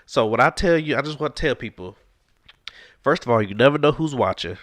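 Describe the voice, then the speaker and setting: A man's voice: medium pitch, expressive, fairly quick, fairly bright tone, dry recording, studio quality. A man, hosting a casual podcast with a stuffed-up, congested nose, earnest and encouraging and sliding into preacher-like exhortation. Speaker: podcast host.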